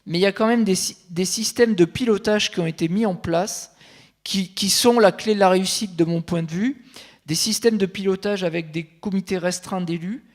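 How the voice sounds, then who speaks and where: A man speaking as a consultant on a conference panel, measured and thinking aloud, with frequent fillers and restarts. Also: high-pitched.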